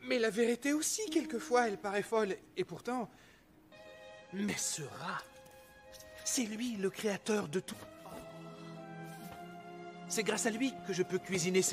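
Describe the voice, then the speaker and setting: Nervous young male cook, animated film: high-pitched, hesitant, sincere, clear music under the voice. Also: a little slow, long pauses. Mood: earnest pleading. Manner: hesitant, stammering, earnest.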